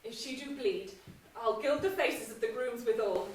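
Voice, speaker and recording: A woman's voice: a little high, normal speed, natural voice. An actress playing a ruthless noblewoman spurring her husband on, delivering intense Shakespearean verse on stage, recorded from far off in an echoey hall. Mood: commanding. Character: ruthless scheming noblewoman, murderer's wife. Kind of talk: stage play performance. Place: theatre stage in a large hall. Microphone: distant room mic.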